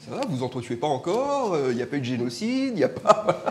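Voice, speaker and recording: A man's voice: a little high, fast talker, slight background noise. A man, a political scientist and politician from Mauritius, arguing his point emphatically in a live panel debate. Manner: argumentative, animated, emphatic. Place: indoor public event.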